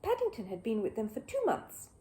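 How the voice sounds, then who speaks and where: A woman storyteller with a soft, mellow tone reads from a children's book. Her pitch moves about in a very lively way, giving the narration plenty of expression. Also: fairly quick.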